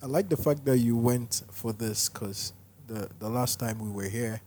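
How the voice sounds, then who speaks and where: A man co-hosting a podcast in a relaxed, casual chat, speaking softly and laughing easily. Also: medium pitch, normal speed, slight background noise. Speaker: podcast co-host. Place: restaurant.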